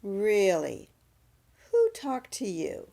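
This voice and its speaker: An actress playing a prying, skeptical relative in a radio drama, gossipy and probing. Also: female voice, mid-range voice, wildly swooping pitch, drawn-out words, gravelly, mellow, heavily acted.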